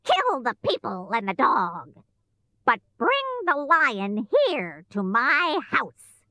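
A woman voicing a wicked, greedy storybook witch with a drawn-out, commanding and menacing delivery. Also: fairly high pitch, sing-song, bright, forceful tone, no echo, pitched above the performer's own voice, exaggerated voice.

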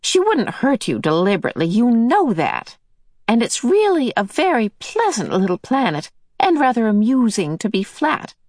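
A woman's voice: fairly high pitch, huge pitch swings, no room sound, studio quality, voice pushed up. A woman voicing a cheerful, motherly old otherworldly female being who soothes and fusses. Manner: bright and soothing, brisk.

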